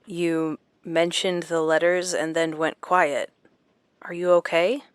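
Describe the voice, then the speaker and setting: Gentle, lower-voiced woman. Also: little pitch movement, slow pace, clean tone, dry recording. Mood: concerned. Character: gentle, perceptive woman, concerned companion to a troubled man. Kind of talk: audio drama acting.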